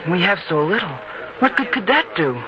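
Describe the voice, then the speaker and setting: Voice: medium pitch, sing-song, moderate pace, close to an everyday voice. An actor playing an earnest, doubtful young boy who questions his mother in an old-time radio drama. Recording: dull lo-fi radio sound, faint noise.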